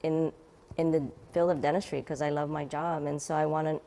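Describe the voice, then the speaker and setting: A middle-aged woman dentist from the Philippines, answering interview questions in a soft, halting way with light laughs. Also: sits low, level tone.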